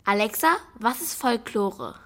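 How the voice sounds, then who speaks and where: Young girl for children's radio drama: fairly high, slow delivery, hesitant, subdued, full of questions. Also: expressive. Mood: hesitant, curious. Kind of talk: children's radio play dialogue.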